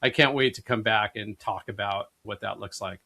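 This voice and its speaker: A man's voice: fairly low pitch, moderate pace, creaky, sharp tone. A man co-hosting a podcast, introducing the guest and asking questions in an upbeat way. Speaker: podcast co-host.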